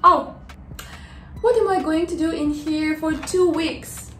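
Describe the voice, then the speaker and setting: Woman voicing an impatient, high-pitched little caterpillar. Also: slow pace, fairly bright tone, soft background music. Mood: restless, whiny. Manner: whiny, exclaiming, eager. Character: impatient, excitable young caterpillar wanting to be a butterfly. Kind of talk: children's storybook read-aloud. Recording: roomy echo.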